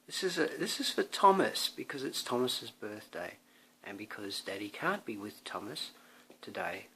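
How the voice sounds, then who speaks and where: A man's voice: on the low side, very animated, moderate pace, bright, forceful tone, slightly rough. A man, a father, reading a picture book aloud to his young son, drawing out words expressively and adding chatty asides that prompt the child.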